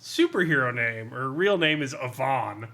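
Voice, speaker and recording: A man co-hosting a podcast, giving an animated, sweary comedic review of a role-playing adventure, reading bits out and laughing often. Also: medium pitch, measured pace. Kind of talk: casual comedic review with read-out excerpts.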